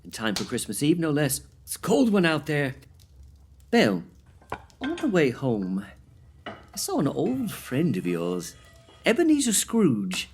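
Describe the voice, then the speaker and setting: An actor playing a loving, gently teasing family man in a radio play, affectionate and playful. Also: medium pitch, huge pitch swings, unhurried, long pauses, a little hiss or hum. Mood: cheerful.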